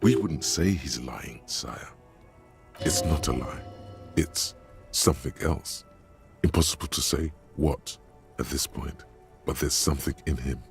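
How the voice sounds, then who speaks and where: A deep gravelly inner voice. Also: male voice, soft background music, exaggerated voice. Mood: solemn. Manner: measured, solemn, literary. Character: brooding all-knowing inner voice, male. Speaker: game narrator. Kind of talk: scripted game narration.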